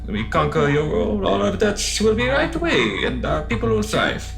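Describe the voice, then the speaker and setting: A man's voice: sits high, huge pitch swings, moderate pace, gravelly, fairly bright tone, faint music behind, full character voice. A man playing an alien conscript soldier in an audio drama, blunt and wistful, speaking in literal, formal phrases with puzzled questions. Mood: earnest, puzzled.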